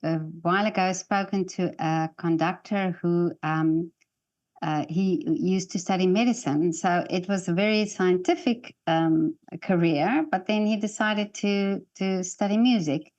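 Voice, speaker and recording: A woman's voice: lowish voice, a little slow, darkish tone, muffled recording. Enthusiastic woman host on a video call. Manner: enthusiastic, encouraging. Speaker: interview host. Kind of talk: casual interview over video call.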